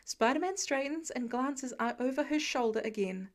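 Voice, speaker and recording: High-voiced woman narrating a story. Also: lively intonation, normal speed. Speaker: audiobook narrator of fan fiction.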